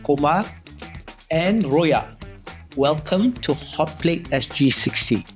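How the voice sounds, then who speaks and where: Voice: mid-range voice, lively intonation, slow pace. A middle-aged host from Singapore, interviewing guests casually on a food talk show, with music behind.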